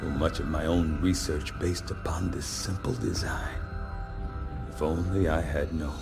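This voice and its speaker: Deep-voiced, cerebral scientist. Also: male voice, steady tone, gravelly, full character voice. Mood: quietly regretful. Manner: formal, measured, wordy, scholarly. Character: cerebral male research scientist, formal and verbose. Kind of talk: video game cutscene acting. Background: music.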